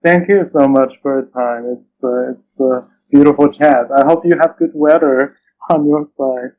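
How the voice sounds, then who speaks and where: A man being interviewed over a call, talking casually and hesitantly, often pausing mid-phrase and repeating himself. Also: medium pitch, fairly even intonation, a little mellow. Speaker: interview guest, poet and translator. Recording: dull lo-fi call with clear noise.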